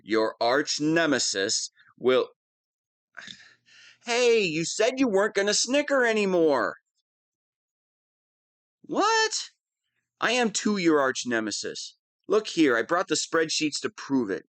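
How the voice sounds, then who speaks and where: A man's voice: on the high side, big pitch swings, slow, pauses often, fairly bright tone, no echo, exaggerated voice. A man playing a whiny, pompous wannabe supervillain who is easily flustered, in a scripted comedic audio roleplay. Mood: pompous, grandiose, then petulant, whiny.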